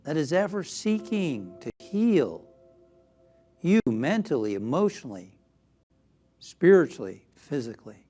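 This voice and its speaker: A man leading a guided meditation as a minister, gentle and soothing, often softening toward a whisper. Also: on the high side, big pitch swings, measured pace, pauses often, husky, faint music behind.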